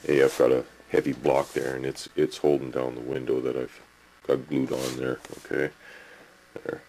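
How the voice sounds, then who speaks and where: A man's voice: very deep voice, flat delivery, moderate pace, gravelly, a little mellow, slight background noise, poor audio. A man, rambling through a casual home workshop tip and checking in with the viewer, recorded on a handheld camcorder. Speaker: hobby model builder. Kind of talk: casual home video tutorial. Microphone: camcorder mic, handheld.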